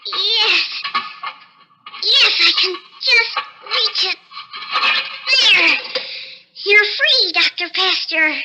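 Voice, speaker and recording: A man's voice: extremely high pitch, sharp tone, exaggerated voice, rough recording. An actor playing a bitter, desperate shrunken research scientist in his thirties, theatrical and self-pitying in a 1950s radio drama, his voice artificially raised. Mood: excited, urgent. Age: 30s.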